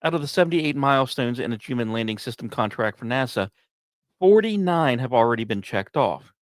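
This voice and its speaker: A man hosting a podcast, reading scripted narration in a measured, dramatic storytelling way with occasional conversational asides. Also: mid-range voice, animated, dry recording, studio quality. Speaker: podcast host.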